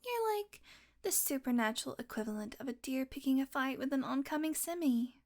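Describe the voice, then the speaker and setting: Woman acting as female vampire, higher-pitched, sardonic, predatory, teasing, murmured asides, intimate audio roleplay. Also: animated, a little fast, darkish tone. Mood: wry, musing. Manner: snarky, sweary, teasing, often dropping to a murmur.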